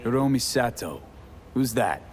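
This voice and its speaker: An actor playing a pragmatic, streetwise mercenary in a video game, with a terse, casual way of talking. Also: male voice, medium pitch, very animated, unhurried. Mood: approving, curious. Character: pragmatic, streetwise male mercenary, seasoned fighter.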